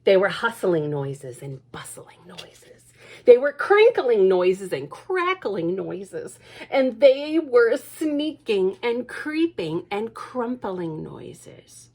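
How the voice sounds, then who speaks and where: Slow, expressive children's storytime reader. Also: female voice, mid-range voice. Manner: gentle, with whispered asides to children. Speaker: children's librarian. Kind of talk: storytime read-aloud for children. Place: library.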